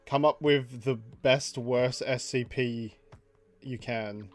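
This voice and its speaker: A man casually chatting on a livestream while he draws, reading out chat messages, laughing often and jumping up in pitch for jokes. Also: steady tone, takes it slowly, soft background music.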